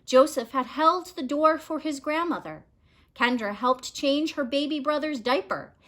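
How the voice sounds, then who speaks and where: Bright-voiced woman reading a storybook, slowly. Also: high-pitched. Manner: expressive, measured storytelling. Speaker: read-aloud storyteller. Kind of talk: scripted children's picture book narration.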